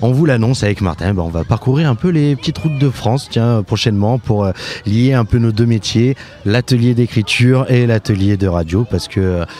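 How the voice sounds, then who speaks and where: A man's voice: medium pitch, normal speed, warm tone. A man hosting a podcast, interviewing his guest in a casual, conversational way.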